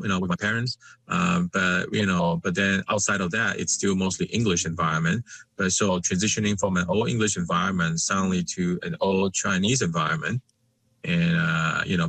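Low-voiced man, halting with many fillers. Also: fairly bright tone. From Malaysia. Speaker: guest. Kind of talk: conversational interview.

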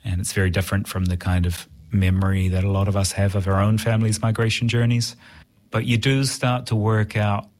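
A man's voice: on the low side, level tone, a little fast, darkish tone. A man with a New Zealand accent, an interviewed writer talking reflectively in a conversational style.

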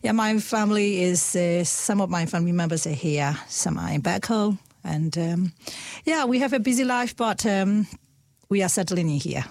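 A middle-aged woman from Myanmar with a Burmese accent, talking in a halting way as a guest in a casual conversation, often repeating her words and laughing softly. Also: lowish voice.

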